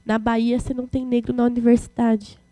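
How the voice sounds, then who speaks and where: A woman's voice: fairly high pitch, level tone, brisk, warm tone. A twenty-year-old woman, giving an informal talk as a student activist, colloquial and slangy as she answers questions. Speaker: guest speaker, student activist.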